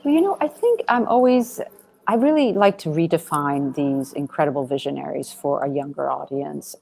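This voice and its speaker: A woman answering interview questions as a film director, conversational and earnest, often halting and circling back to rephrase. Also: low-pitched, a little mellow.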